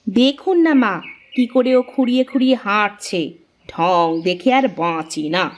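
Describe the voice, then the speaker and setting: A woman's voice: medium pitch, lively intonation, moderate pace, muffled recording, lightly acted. A woman voicing a scheming, spiteful elder daughter-in-law in a cartoon, with an insinuating, wheedling manner. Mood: mocking, spiteful. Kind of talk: cartoon family drama acting.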